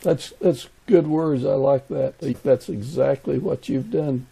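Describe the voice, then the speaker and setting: Husky, mellow man hosting a podcast. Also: mid-range voice, unhurried. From United States. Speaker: podcast host and interviewer. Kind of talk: conversational interview and podcast hosting.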